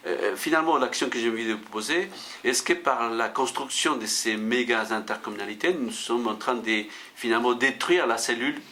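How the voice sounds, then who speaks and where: A man's voice: medium pitch, fairly quick, bright, forceful tone, slight background noise. A man conducting a conversational political interview, feeling his way through questions and repeating words as he goes.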